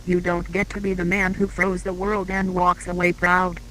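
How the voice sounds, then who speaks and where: A man's voice: high voice, monotone, normal speed, pushed and bright, a little hiss or hum, rough recording. A man playing an outraged, accusatory interrogator in a horror drama. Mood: outraged, accusing.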